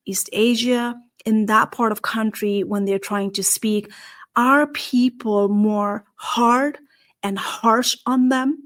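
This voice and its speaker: A middle-aged woman from Nepal with a Nepali accent, talking earnestly to camera as a career show host and posing questions to her listeners. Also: medium pitch. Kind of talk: solo talk to camera.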